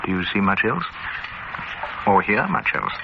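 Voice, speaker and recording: A smug old gourmet. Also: male voice, lowish voice, big pitch swings, vocal fry, heavily acted. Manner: smug, measured, teasing. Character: pompous older male gourmet, club regular. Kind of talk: radio drama acting. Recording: lo-fi old radio drama, heavy hiss.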